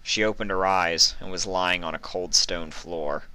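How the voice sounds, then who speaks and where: A man's voice: low-pitched, steady tone, unhurried, bright, forceful tone, smooth, rough recording. A man reading scripted fan fiction aloud as the narrator.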